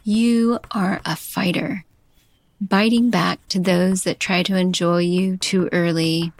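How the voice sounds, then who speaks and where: A woman, a chef hosting a podcast, reading a poetic love letter and scripted narration in a slow, intimate way. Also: lowish voice, steady tone, vocal fry, dry recording.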